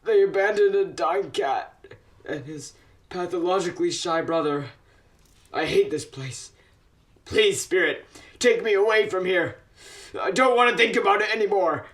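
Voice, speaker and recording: A man's voice: sits high, moderate pace, a bit bright. A man playing a grumpy, haunted miser cat with theatrical bluster in an amateur radio play. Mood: sorrowful, bitter.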